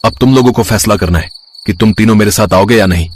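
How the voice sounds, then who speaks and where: A man playing a brave young villager, earnest and emphatic, in dramatic dialogue for an animated moral story. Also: lowish voice, fast talker, a little hiss or hum, lightly acted. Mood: determined.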